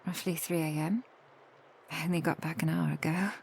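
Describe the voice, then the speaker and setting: A woman voicing a protective, tender vampire girlfriend in an ASMR roleplay, soft, intimate and gently teasing. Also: sits low, steady tone, moderate pace, gravelly, slight background noise.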